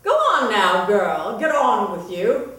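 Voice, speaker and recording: A woman's voice: medium pitch, very animated, slow, fairly bright tone. A woman voicing a cold, bossy wicked stepmother in a folktale, giving curt commands. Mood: scolding. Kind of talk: dramatic folktale storytelling. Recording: very echoey room, distant mic.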